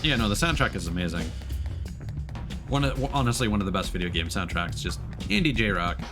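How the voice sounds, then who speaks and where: Male game streamer: fairly deep, animated, playful and conversational, over clear background music. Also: a little fast. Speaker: video game livestreamer. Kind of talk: casual let's play commentary with on-screen text read aloud.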